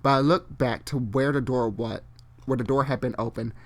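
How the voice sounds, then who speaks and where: A mid-pitched host reads a story dramatically. The delivery stumbles over the text and keeps veering into ranting asides, exasperated and full of swearing. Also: moderate pace. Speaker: host doing a dramatic reading.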